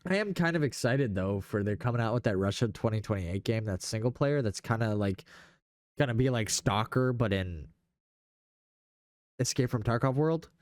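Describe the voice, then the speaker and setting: A man's voice: medium pitch, expressive, normal speed, darkish tone, no room sound, studio quality. A young man in casual gaming chat, speaking in a slangy, enthusiastic way. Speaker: podcast host.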